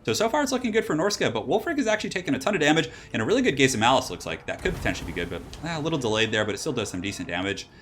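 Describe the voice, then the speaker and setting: Young male caster, quick casual patter, a little raspy, talks fast. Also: medium pitch, sing-song, fairly bright tone, a little hiss or hum. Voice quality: slightly raspy from allergies. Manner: rambling, casual, laughs and exclaims at plays. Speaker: esports caster and channel host. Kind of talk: solo game commentary.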